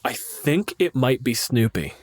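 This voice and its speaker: A man's voice: medium pitch, animated, measured pace, no echo. A man with a mid-range voice chats casually as a podcast co-host. He explains things wryly and swears freely. The sound is dry and close. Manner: wry, profane, explanatory. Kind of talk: casual chat.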